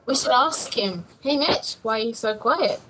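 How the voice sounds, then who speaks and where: A woman's voice: a little high, animated, normal speed, over a noisy crowd. A teenage girl chatting and teasing friends on a casual group podcast. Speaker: podcast co-host. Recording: noisy home recording.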